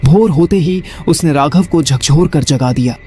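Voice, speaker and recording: A man narrating a scripted horror story in a dramatic, deliberate storytelling manner. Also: a little high, dark tone, soft background music.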